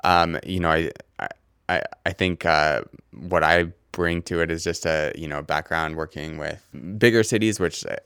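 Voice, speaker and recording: Male editor, very low voice with a smooth, clear tone, easygoing and halting. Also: dry recording, studio quality. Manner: relaxed, halting with many fillers and restarts. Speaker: newspaper editor. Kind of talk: casual interview.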